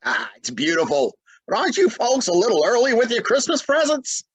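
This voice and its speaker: A man's voice: extremely high pitch, wildly swooping pitch, fairly quick, slightly rough, dry recording, full character voice. A man acting a genial family friend with salesman-like patter in an old-time radio comedy. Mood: friendly.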